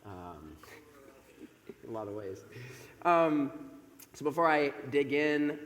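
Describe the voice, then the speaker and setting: A man in his thirties preaches to a congregation from the pulpit, his loud voice carried by a microphone. He talks in a chatty way, pausing on fillers. The sanctuary gives his voice a long, roomy echo. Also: medium pitch, very animated. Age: 30s. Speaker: pastor. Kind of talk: sermon. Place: church sanctuary. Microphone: microphone over a PA system. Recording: echoey church hall with long reverb.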